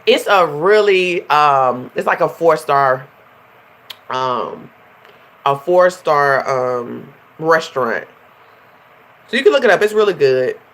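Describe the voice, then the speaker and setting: A young woman, a life coach hosting a casual livestream and chatting directly to her viewers. She sounds stuffy and nasal from a runny nose, and a little hoarse. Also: low-pitched, expressive, slow, long pauses, fairly bright tone. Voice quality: slightly hoarse.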